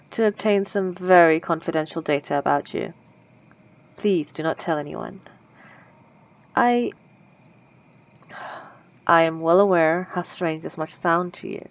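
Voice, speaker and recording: A woman's voice: on the low side, lo-fi sound, close to an everyday voice. A woman voicing a polite, lovestruck female vampire in an ASMR roleplay, speaking formally and old-fashioned with nervous stammers.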